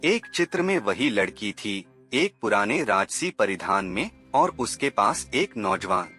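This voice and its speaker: A man's voice: lowish voice, very animated, measured pace, pushed and bright, soft background music. A man narrating a scripted horror story in a dramatic, suspenseful manner.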